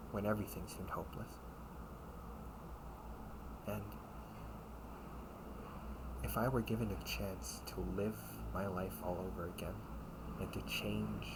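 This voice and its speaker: A man acts out a loyal knight in a dramatic audio roleplay, his voice on the low side. He speaks very slowly and earnestly, with breathy, hushed phrases meant to steady a comrade. The home recording has plainly audible noise behind him. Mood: wistful, reflective. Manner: slow, halting delivery with breathy, hushed phrases.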